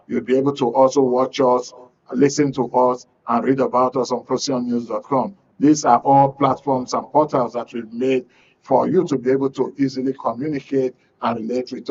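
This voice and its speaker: A man with a Nigerian accent hosting a current affairs programme in a studio, speaking in a measured, formal, wordy way. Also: mid-range voice. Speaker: radio and TV host. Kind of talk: current affairs show introduction. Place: radio and TV studio. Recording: dull, band-limited broadcast audio.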